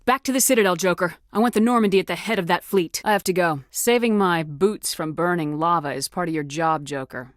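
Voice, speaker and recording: Resolute female military commander for a sci-fi game, played by an actress: lower register, firm and clipped, very dry studio sound. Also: dry recording, close to an everyday voice. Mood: commanding, urgent. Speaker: video game voice actor. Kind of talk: video game character dialogue.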